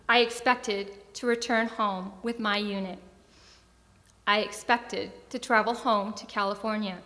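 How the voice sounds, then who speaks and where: A young woman, a military veteran, reading her own scripted personal story on stage in a measured, deliberate way. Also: on the high side, pushed and bright. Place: theatre stage at an arts centre. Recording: long hall reverb.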